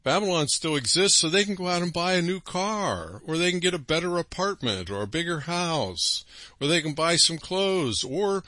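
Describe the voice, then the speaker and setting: A man's voice: fairly high pitch, lively intonation, normal speed, bright, forceful tone, dry recording. A man hosting a religious broadcast, preaching emphatically with rhetorical questions and frequent asides. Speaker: radio broadcast host.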